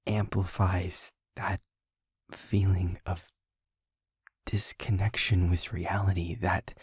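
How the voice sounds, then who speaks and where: A soft-spoken male vampire, acted by a man in an intimate audio drama. His voice is mellow, very breathy and captured close and dry. He speaks haltingly and with care, reassuring his newly turned partner. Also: sits low, no echo, full character voice. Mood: quiet, explanatory. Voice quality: breathy, husky, near-whispering. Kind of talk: intimate audio roleplay monologue.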